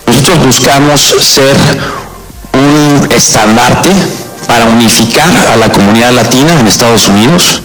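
A man speaking as a company spokesman in an interview soundbite, earnest and measured. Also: medium pitch, fairly bright tone, faint music behind, low-quality recording.

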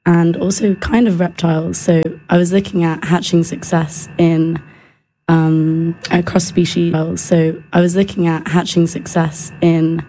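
A woman's voice: on the low side, mellow, rough recording. A young woman from England with an English accent, casually introducing herself as an interview guest, with some background noise. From Bristol, UK. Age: young adult. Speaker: guest, conservation zoologist.

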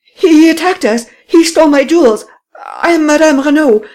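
A woman reading an audiobook voices a wealthy, theatrical lady who gasps out breathless, high exclamations. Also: very animated, moderate pace. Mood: hysterical. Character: rich frightened lady, excitable and theatrical.

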